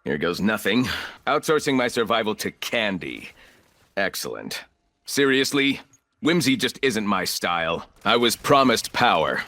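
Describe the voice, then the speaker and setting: Imperious, sharp-voiced corporate villain. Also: male voice, fairly low pitch, sing-song, a little slow, heavily acted. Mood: dry, disdainful. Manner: imperious, clipped, condescending. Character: arrogant, ruthless corporate villain operator, cold and calculating man. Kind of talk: video game character voice lines.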